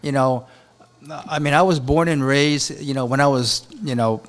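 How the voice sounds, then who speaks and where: A man from Japan, a chef and restaurant owner, talks in a rambling, halting way with many restarts during an interview at a beach hotel. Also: medium pitch. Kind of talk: casual interview talk.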